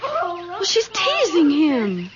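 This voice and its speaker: A woman's voice: extremely high pitch, huge pitch swings, very slow, a loud crowd, exaggerated voice. An actress playing an anxious, cautious young woman in an old-time radio drama. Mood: worried. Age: young adult. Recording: dull, noisy vintage radio recording.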